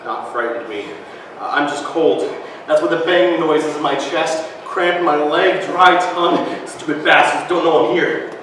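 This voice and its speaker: An actor playing a frightened, angry boy in a stage monologue, moving from haunted murmurs to frantic shouting and swearing. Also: on the high side, moderate pace, noisy background, natural voice. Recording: echoey hall from far off.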